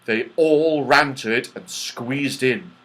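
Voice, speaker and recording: A man reading a children's story aloud in an animated, carefully enunciated way, as an audiobook narrator. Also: medium pitch, slow pace. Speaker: audiobook reader.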